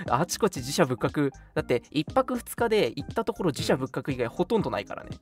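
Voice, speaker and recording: A man in his twenties chats as a radio co-host, his pitch moving in lively swings. The talk is loose, friendly banter, with music playing behind it. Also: brisk. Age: 20s. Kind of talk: casual banter between friends.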